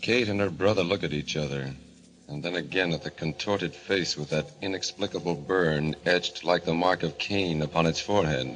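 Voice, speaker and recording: A man's voice: sits low, a bit bright, low-quality recording. A man narrating in dramatic 1940s radio horror style as the show's announcer. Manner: ominous, dramatic, drawn-out phrasing.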